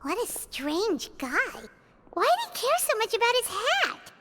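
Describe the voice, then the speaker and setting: Squeaky, tiny childlike fairy sidekick. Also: female voice, wildly swooping pitch, a little slow, very noisy, heavily acted. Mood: puzzled. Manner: speaks of herself in third person, exclaiming, childlike. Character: tiny childlike female fairy companion, perky, nosy, blunt. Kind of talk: video game character voice acting.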